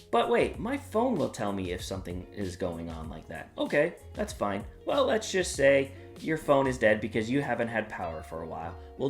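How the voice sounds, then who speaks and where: Guy hosting his review channel, chatty and upbeat, bit of guitar music in the back. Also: mid-range voice. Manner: upbeat, plain-spoken, with some "uh" fillers. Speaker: product reviewer and channel host. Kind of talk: casual product review vlog.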